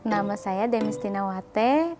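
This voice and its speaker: A woman's voice: mid-range voice, expressive, unhurried, over music. A woman in her thirties answering as an interviewee in short phrases. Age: 30s.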